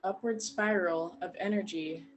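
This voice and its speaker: A woman guiding a meditation in a gentle, unhurried way, leaving long pauses between short phrases. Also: lowish voice, steady tone, poor audio. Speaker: meditation guide. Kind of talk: guided meditation narration.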